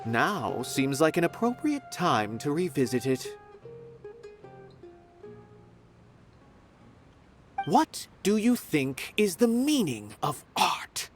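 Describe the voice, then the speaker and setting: Theatrical, swooping haughty art connoisseur. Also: male voice, a little high, very animated, a little slow. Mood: wry, pointed. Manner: theatrical, grandiloquent. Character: haughty, eloquent male art connoisseur and performer. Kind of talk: video game voice acting. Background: game music.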